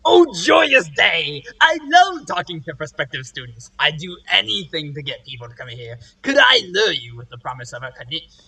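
Weird skulky recruiter guy in an audio drama, raspy and forceful, all over the place, way too keen. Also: mid-range voice, big pitch swings, normal speed, heavily acted, rough recording. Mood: overjoyed, creepy. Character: skulking, creepily overeager eccentric college recruiter. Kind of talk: comedic audio drama acting.

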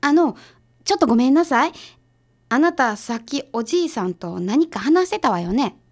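A woman playing a brisk, sharp detective's assistant in a radio drama, speaking casually and decisively with a Kansai accent. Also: high-pitched, animated. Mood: brisk, curious.

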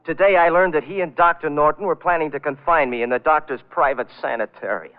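A man's voice: a little high, quick pace, smooth, narrow, tinny audio, natural voice. An actor playing a bitter, self-pitying young man who narrates his own downfall in old-time radio thriller style. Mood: agitated, paranoid. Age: young adult. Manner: brooding, dramatic, self-pitying. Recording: band-limited vintage radio recording.

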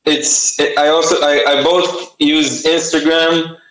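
A man's voice: a little high, level tone, slow pace, a bit bright, roomy sound, rough recording. A young man with an Israeli accent, a native Hebrew speaker, answering interview questions about his art with many fillers and restarts. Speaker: artist and t-shirt designer.

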